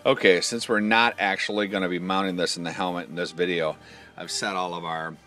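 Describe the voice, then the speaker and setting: Very deep-voiced man giving a hands-on walkthrough. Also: steady tone, fairly quick, bright, forceful tone, soft background music. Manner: informal, explanatory, pointing things out step by step. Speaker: product demonstrator. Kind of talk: casual hands-on product walkthrough.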